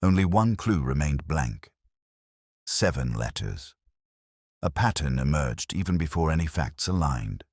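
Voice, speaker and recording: A man playing a melancholy, observant detective, narrating a mystery in a measured, literary, deliberate manner. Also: a bass voice, expressive, darkish tone, dry recording, studio quality, full character voice. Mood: pensive, uneasy. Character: melancholy, observant older male detective narrating his case. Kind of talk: scripted first-person mystery narration.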